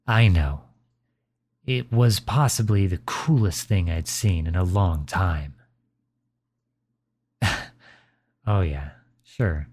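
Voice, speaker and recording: A man playing a gentle, reassuring father comforting his child in a soft, soothing ASMR roleplay. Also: sits low, husky, exaggerated voice. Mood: warm, reminiscing. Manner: soft, soothing, unhurried encouragement.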